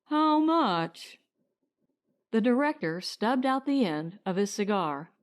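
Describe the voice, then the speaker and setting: A woman's voice: mid-range voice, expressive, moderate pace. A woman narrating a short story as a scripted audiobook.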